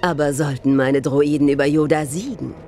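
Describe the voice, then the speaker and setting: A woman's voice: low voice, steady tone, moderate pace, darkish tone, faint music behind, lightly acted. A woman playing a cold, scornful villain commander, smug and commanding, in an audio drama. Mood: smug, challenging.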